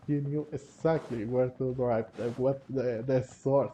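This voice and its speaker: Slow, very mellow man commenting on gameplay. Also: mid-range voice, fairly even intonation, slight background noise. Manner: hesitant, musing aloud, repeats words. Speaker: gaming video host. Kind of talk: casual game playthrough commentary.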